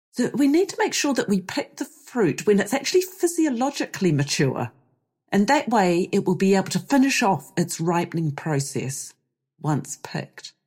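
A woman hosting a podcast, hoarse from losing her voice, explaining things in a lively, chatty way. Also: medium pitch. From New Zealand. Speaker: podcast host. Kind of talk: solo podcast chat.

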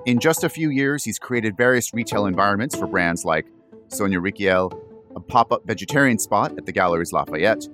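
A male podcast host with a low voice and very animated intonation. He delivers interview questions and scripted reads with polish and a touch of wry flourish. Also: a little fast, faint music behind. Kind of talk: interview and scripted sponsor narration.

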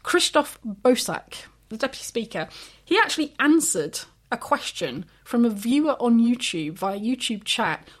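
A woman co-hosting a political podcast, explaining events briskly with a few fillers. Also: fairly high pitch, very animated. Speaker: podcast co-host. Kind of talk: casual political chat.

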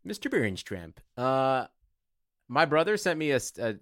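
A man's voice: medium pitch, sing-song, unhurried, fairly bright tone, no echo, clean studio sound. Sarcastic man bantering on a sports podcast. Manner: sarcastic, swearing. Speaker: podcast co-host.

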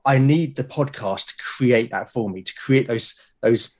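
A British man talking as a podcast guest, explaining his creative process in a reflective, halting way. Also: medium pitch, darkish tone. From Britain. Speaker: podcast guest, creator of a solo role-playing show.

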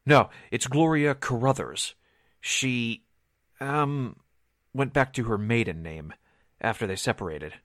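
An actor playing a hesitant, nervous witness in a 1940s-style radio drama, halting and hedging as he confides. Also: medium pitch, fairly bright tone, dry recording, clean studio sound. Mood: confiding.

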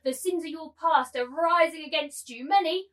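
Woman acting domineering madam, high voice, cruel, imperious, theatrical novel reading, slightly distant mic. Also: moderate pace, a bit bright. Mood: stern, imperious. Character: cruel, gloating, domineering brothel madam turned masculine tyrant. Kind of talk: dramatic table reading of a novel.

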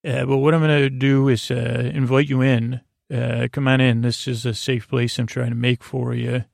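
A man hosting a bedtime podcast with a creaky voice and a lulling, goofy, meandering and halting delivery. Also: mid-range voice, level tone, darkish tone, no room sound, studio quality. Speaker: podcast host. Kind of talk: rambling bedtime monologue.

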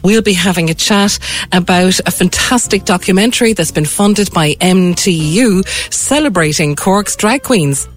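A woman's voice: lowish voice, unhurried. A woman hosting a radio arts show in a chatty, welcoming, conversational way on a studio microphone. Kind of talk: chatty live radio presenting. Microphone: studio broadcast microphone. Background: music.

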